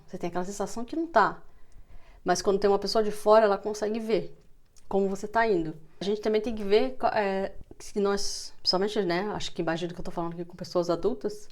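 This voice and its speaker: A woman with a Brazilian Portuguese accent and a medium-pitched voice gives an informal explanatory talk. She sounds like a language teacher, conversational and putting stress on key words.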